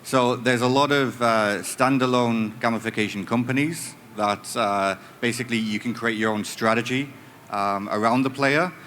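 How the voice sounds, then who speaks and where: A man's voice: mid-range voice, steady tone, normal speed, fairly bright tone, slight background noise. A man speaking as a panelist at a conference, explaining with many ums and restarts. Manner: hesitant, many fillers. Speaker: panelist, business director. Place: conference stage.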